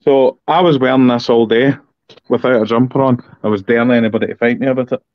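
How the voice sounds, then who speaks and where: A Scottish man talks in a blunt, emphatic way and hammers his points home by repeating them. His voice sits at a middling pitch. The audio is dull and lo-fi, with a dry, close sound. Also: fairly even intonation, fast talker, no room sound. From Scotland. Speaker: political podcast co-host. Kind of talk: casual political discussion.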